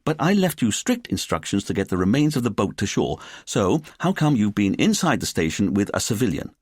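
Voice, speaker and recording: Man playing a stiff, flustered, husky police chief. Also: fairly low pitch, big pitch swings, fairly quick, no room sound, studio quality. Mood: accusing. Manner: blustering, stammering, indignant.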